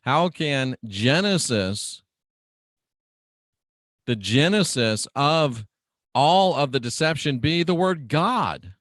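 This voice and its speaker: A man delivering a dramatic sermon-like radio monologue as host, speaking emphatically and deliberately, repeating phrases and putting showman-like rhetorical questions to listeners. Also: on the high side, expressive, fairly bright tone, no room sound, studio quality.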